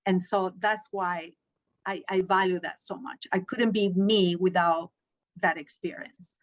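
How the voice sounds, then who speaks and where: A middle-aged woman from Chile, a Spanish teacher answering interview questions in a measured, earnest way with frequent restarts. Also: lowish voice, steady tone, poor audio.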